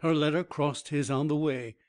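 男性の朗読、やや高めの声. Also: male voice, a little high, fairly even intonation, normal speed, darkish tone. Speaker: audiobook narrator. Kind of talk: scripted narration of a biography.

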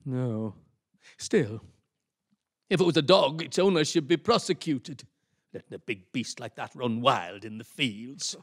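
A man's voice: mid-range voice, animated, unhurried. A man acting an exasperated, sarcastic older husband at the wheel in a radio play. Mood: curious, disapproving. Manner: sarcastic, retorting. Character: exasperated, bickering older husband driving the car. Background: faint car sounds.

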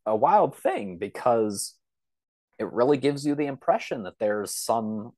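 A man's voice: medium pitch, lively intonation, measured pace, warm tone, no echo. A man talking casually as a podcast guest, explaining things through examples and rambling asides. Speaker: podcast guest, science fiction author.

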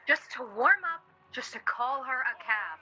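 A woman's voice: high voice, lively intonation, normal speed, pushed and bright, slightly rough, exaggerated voice, poor audio. An actress playing an insistent, concerned woman in a naturalistic radio drama, pleading and exasperated. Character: insistent, concerned woman persuading a stubborn man.

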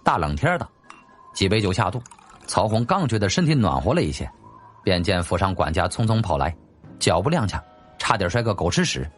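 A man's voice: sits low, big pitch swings, a little fast, faint music behind. A man narrating a scripted story as a dramatic audiobook storyteller.

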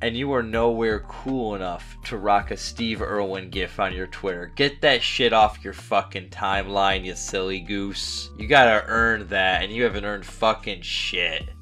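Profane mocking man with a lower voice. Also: unhurried, few pauses, bright, forceful tone, soft background music. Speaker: commentary narrator mocking a streamer. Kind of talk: sarcastic voice-over commentary.

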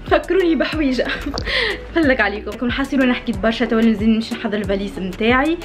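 Fast-talking Tunisian young woman vlogger. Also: on the high side, very animated, soft background music. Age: young adult. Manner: chatty, playful, talks straight to followers. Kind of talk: casual selfie vlog talk. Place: room in a friend's home, indoors. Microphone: phone held at arm's length.

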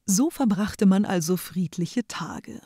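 A woman's voice: mid-range voice, slow pace, warm tone, husky, no echo. A middle-aged woman reading scripted storybook narration as a storyteller, close to the microphone. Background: music.

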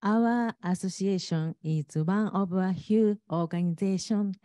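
Woman, slow and careful, mellow dark voice, audience question. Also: mid-range voice, no echo, clean studio sound. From Japan. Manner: formal, careful, measured. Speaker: audience member asking a question. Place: large conference hall.

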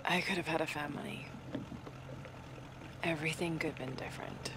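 A woman's voice: sits low, steady tone, normal speed, very noisy, natural voice. An actress playing a determined young woman adventurer with old grief, earnest, in a video game cutscene. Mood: regretful, resolved. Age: young adult.

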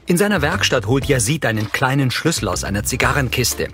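A man's voice: medium pitch, very animated, brisk, fairly bright tone, faint music behind. A man narrating scenes in a neutral scripted style for an audio description track.

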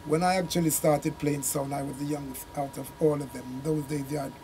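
An older man with a Jamaican accent, a reggae singer telling his story in a relaxed, rambling way in a small studio room. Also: a little high, music in the background. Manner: unhurried, rambling recollection with Jamaican turns of phrase. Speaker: reggae singer and sound system man, interview guest.

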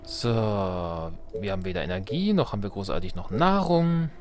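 Man, lowish voice, casual gaming commentary, thinking aloud, noticeable game noise behind. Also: animated, moderate pace. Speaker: let's play host.

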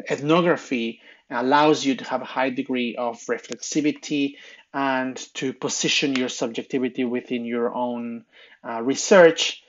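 A man's voice: medium pitch, muffled recording. A man in his thirties with a Mexican Spanish accent, giving a recorded slide lecture as a university lecturer, hesitant with many fillers and restarts.

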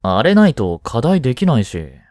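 A man's voice: mid-range voice, big pitch swings, moderate pace, darkish tone, no echo, clean studio sound, lightly acted. A man playing a flustered teenage boy jealous over his childhood friend in a romantic voice drama, boyish and hesitant. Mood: awkward, cheeky. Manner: boyish, hesitant, muttering to himself. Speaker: amateur voice-drama actor. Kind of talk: Japanese romantic voice drama acting.

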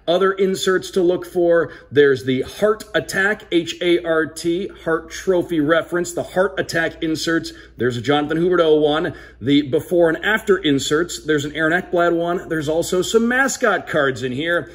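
A man's voice: on the high side, moderate pace, few pauses. A man hosting an unboxing video with casual, upbeat commentary, talking straight to the viewers. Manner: upbeat, addresses viewers directly. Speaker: sports broadcaster hosting a card unboxing. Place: indoor room at a wooden table.

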